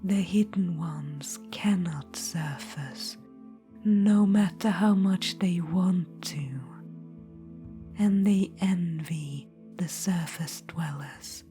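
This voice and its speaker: A woman voicing a possessive, menacing undead witch-queen in an ASMR roleplay, whispering softly and slowly throughout. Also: on the low side, faint music behind. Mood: hushed storytelling. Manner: soft whisper throughout, slow and intimate.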